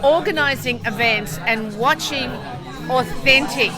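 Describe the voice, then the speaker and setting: A woman's voice: high-pitched, measured pace, over music. Australian woman teacher over crowd chatter. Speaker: university language teacher. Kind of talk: casual interview chat.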